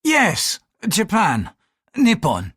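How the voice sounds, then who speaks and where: An audiobook reader voicing an irritable, fanatical Portuguese priest who speaks in an impatient, accusing, forceful way. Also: medium pitch, big pitch swings, unhurried, husky, no echo, clean studio sound, pitched above the performer's own voice.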